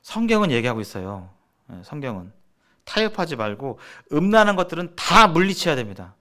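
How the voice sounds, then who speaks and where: A man's voice: on the high side, big pitch swings, fairly quick, a bit bright. A man giving a sermon-like seminar lecture as a preacher, speaking emphatically and repeating phrases for stress. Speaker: preacher leading a church seminar.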